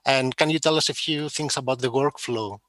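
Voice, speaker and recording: A man acting as interviewer in a conversational exchange, speaking in measured, hesitant phrases. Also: mid-range voice, fairly bright tone, no echo.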